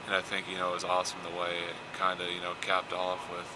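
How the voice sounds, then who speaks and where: A man's voice: low-pitched, completely flat delivery, quick pace. A young American man from Indiana in his twenties, answering interview questions casually as a college athlete, often repeating words. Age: 20s. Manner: repeats words, frequent "you know". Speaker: college basketball player. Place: basketball fieldhouse. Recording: clear ambient noise of a gym.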